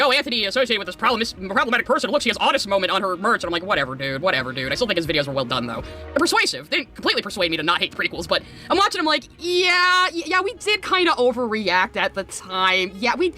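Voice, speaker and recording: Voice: mid-range voice, bright, forceful tone. A commentator talking casually in an exasperated, sardonic way, telling stories with animation. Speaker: video commentator.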